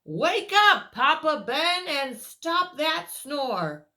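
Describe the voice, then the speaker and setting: Woman voicing an insistent, high-pitched storybook boy, calling out loudly. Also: very animated, full character voice. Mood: loud demanding. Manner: loud, insistent, drawn-out calling. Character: exasperated storybook boy Jack calling sleepers awake.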